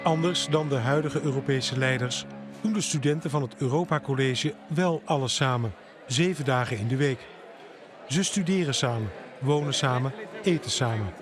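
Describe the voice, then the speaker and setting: A man's voice: mid-range voice, moderate pace, music in the background. A Dutch man reading scripted news narration as a reporter.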